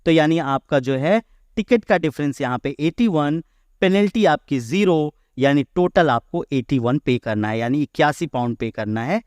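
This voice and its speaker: A man with an Indian accent giving a step-by-step software lesson in an explanatory way, as an instructor. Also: on the high side, animated, moderate pace, no echo, studio quality. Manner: explanatory, step by step, checking understanding. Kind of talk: software tutorial narration mixing Hindi and English.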